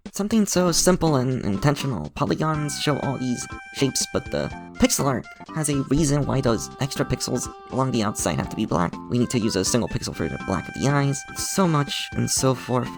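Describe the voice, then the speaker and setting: Lively upbeat man with a gravelly edge. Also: medium pitch. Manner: enthusiastic, playful. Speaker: channel host. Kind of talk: scripted tutorial narration with a sponsor segment.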